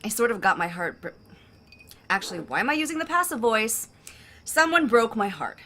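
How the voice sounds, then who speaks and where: A woman's voice: on the high side, sing-song, moderate pace, pushed and bright, slight background noise. An actress playing a lovelorn, sardonic woman diplomat, conversational and hesitant, in an audio drama. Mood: hurt, subdued. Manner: hesitant, conversational, self-correcting.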